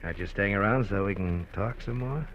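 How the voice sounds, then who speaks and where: An actor playing a menacing, smooth-talking gangster who mocks and threatens with chuckles, in a 1950s radio drama. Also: male voice, on the low side, fairly quick, fairly bright tone, lightly acted. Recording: dull lo-fi 1950s radio recording with faint hiss.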